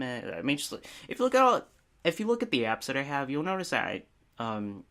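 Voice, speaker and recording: Guy with a kinda low voice doing an unscripted review video, rambly and self-deprecating, super informal. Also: fairly bright tone. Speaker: app review video host. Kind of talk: casual unscripted app review.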